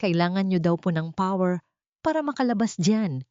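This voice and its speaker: A brave, caring small child speaks in earnest, hushed tones, voiced by a woman narrator. It is an audiobook drama with a dry, slightly muffled sound. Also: on the low side, animated, normal speed, smooth, no room sound, lightly acted.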